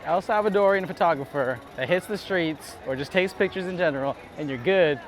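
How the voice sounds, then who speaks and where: A man's voice: a little high, brisk. A man hosting a casual interview, bantering, joking and teasing in slangy talk. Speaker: host, interviewer behind the camera. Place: outdoors at a busy urban beachside boardwalk. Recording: loud outdoor crowd and street noise.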